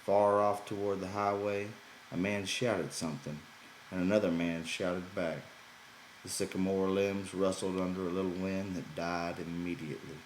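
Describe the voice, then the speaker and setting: Male audiobook narrator, deep register, literary prose reading, roomy sound with faint noise. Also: steady tone, moderate pace. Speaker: audiobook reader.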